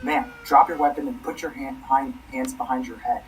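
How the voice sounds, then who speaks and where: An actor playing a firm, official police officer and dispatcher in a radio play. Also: male voice, medium pitch, fairly even intonation, normal speed, slight background noise, close to an everyday voice, rough recording. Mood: stern warning. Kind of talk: dramatic radio play acting.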